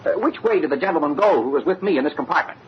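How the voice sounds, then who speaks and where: A man's voice: a little high, animated, racing, lightly acted. An actor playing a polite, bewildered young man in an old-time radio drama. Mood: puzzled. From United States. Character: polite, bewildered young American man visiting his fiancée's family. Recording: muffled, noisy old radio recording.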